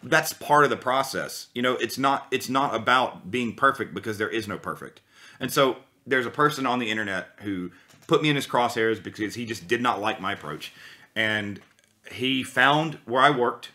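A man's voice: medium pitch, normal speed. A man in his thirties, a voice teacher, telling a long story in casual podcast chat, rambling with lots of restarts. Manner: rambling, animated, many restarts. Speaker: guest, voice teacher. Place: small room with guitars on the wall.

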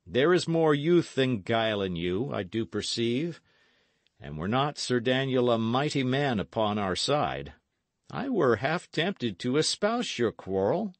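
Man playing a stately, slow-spoken stern earl. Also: medium pitch, big pitch swings, dry recording. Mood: measured, approving. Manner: formal, weighty, deliberate archaic speech. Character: stately, stern but fair-minded English earl.

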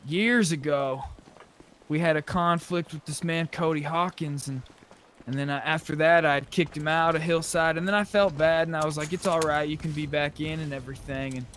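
Man, slightly high-pitched, casual roleplay chat, game voice chat, faint background noise. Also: steady tone, normal speed, a bit bright.

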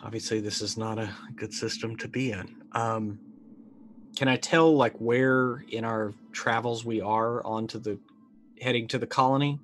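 A man in his thirties talking casually as a tabletop game player, asking questions and thinking out loud. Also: medium pitch, steady tone, normal speed, faint music behind. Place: indoor room.

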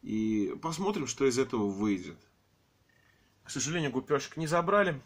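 A man with a slightly hoarse voice from a cold, filming his aquariums at home on a handheld phone and talking in a rambling, hesitant way full of fillers. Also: mid-range voice, sing-song, moderate pace. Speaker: aquarium hobby vlogger. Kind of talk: casual home vlog, off-camera narration.

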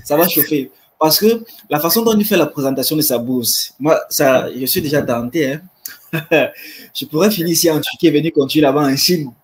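A man's voice: fairly high pitch, a little fast, a little hiss or hum. A man, hosting a casual livestream on a video call with enthusiasm and repeating phrases for emphasis. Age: 20s. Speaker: livestream host. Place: indoor room with wood-panelled wall. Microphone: webcam video call.

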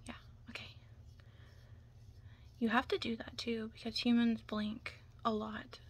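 A young woman doing an ASMR roleplay in whispers and soft talk, chatting casually and reassuringly close to the mic. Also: on the high side, flat delivery, unhurried, husky. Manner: casual, chatty, reassuring makeup artist patter.

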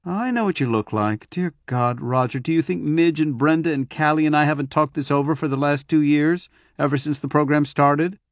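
A man voicing an adult woman, an eager, affectionate wife who chatters quickly, in a storybook reading. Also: on the high side, no room sound, lo-fi sound, pitched above the performer's own voice, natural voice. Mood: earnest.

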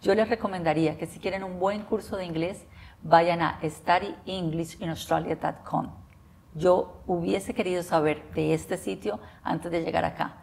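A woman in her forties from Colombia with a Colombian accent, seated indoors and talking to camera with enthusiasm, often pausing with "eh". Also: lowish voice, fairly even intonation, vocal fry, darkish tone. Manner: enthusiastic, frequent hesitations with eh. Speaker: interviewee. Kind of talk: talking to camera about life abroad. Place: bright office room by large windows, indoors.

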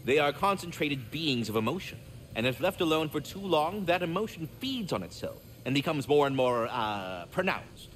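A man's voice: on the high side, sing-song, normal speed, fairly bright tone, slight background noise. A man voicing a courteous, fretful, erudite magical squirrel in a video game, speaking in formal, wordy, theatrical phrases. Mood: anxious explaining. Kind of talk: video game character acting.

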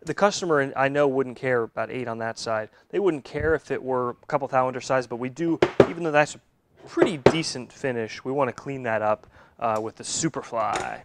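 A man's voice: medium pitch, a little fast, heavy background noise. A man in his thirties walking through a shop job in a casual instructional way, thinking aloud and correcting himself as he goes, in a machine shop. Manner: thinks aloud while working, with self-corrections. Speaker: machine shop owner and video host.

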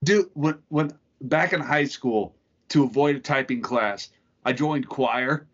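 A man's voice: mid-range voice, unhurried, fairly bright tone, muffled recording. A man in his thirties co-hosting a podcast, bantering loosely with laughter and swearing over a video call from home. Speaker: podcast co-host. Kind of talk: casual profane chat.

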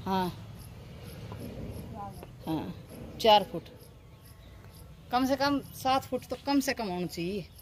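A woman's voice: medium pitch, lively intonation, slow pace, pauses often, slight background noise. A middle-aged woman with a Haryanvi accent chatting casually and giving instructions in a home vlog. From rural Haryana, India. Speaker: family vlogger, mother. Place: village house and open courtyard. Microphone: handheld phone.

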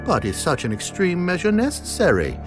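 An actor voicing an inquisitive male council leader in a game, husky, animated and formal in speech. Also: sits high, a little fast. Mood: puzzled. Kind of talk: dramatic English game dub. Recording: music behind the voice.

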